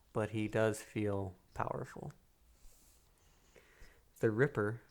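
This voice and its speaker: A man playing a calm, manipulative psychiatrist in a soft-spoken ASMR roleplay, measured and hypnotic, pausing between phrases. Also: lowish voice, vocal fry. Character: calm, manipulative male psychiatrist interviewing a killer patient.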